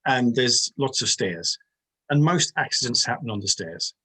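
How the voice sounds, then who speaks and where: A man's voice: mid-range voice, expressive, normal speed, sharp tone, husky, poor audio. A man speaking as a panelist, hedging often and chuckling between points. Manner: hedging, lots of "you know", chuckling. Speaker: panelist professor. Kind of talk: panel discussion.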